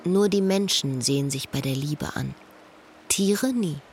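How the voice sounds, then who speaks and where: A woman's voice: low voice, unhurried, faint music behind. A woman acting a disillusioned, wry woman's introspective monologue in a literary radio drama. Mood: resigned.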